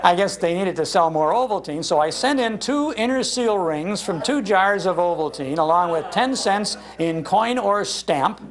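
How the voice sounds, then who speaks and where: Older American man, higher-pitched, telling stories. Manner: animated, acts out quoted lines. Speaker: speaker giving a talk on old-time radio. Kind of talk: humorous anecdotal storytelling to a live audience. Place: public library talk.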